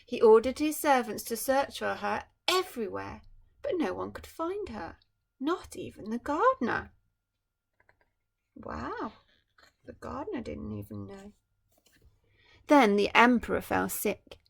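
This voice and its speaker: A woman teacher reading a storybook aloud to children. Her fairly high voice has a strong gravelly creak. She swings widely in pitch and breaks off into gentle, musing asides to her listeners. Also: sing-song, a little slow, pauses often. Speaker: primary school teacher.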